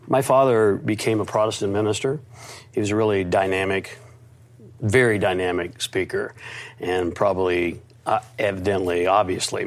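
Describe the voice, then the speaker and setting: A middle-aged man from the United States, an interview guest, telling his life story in a confident, reflective way with some stuttered restarts. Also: deep voice.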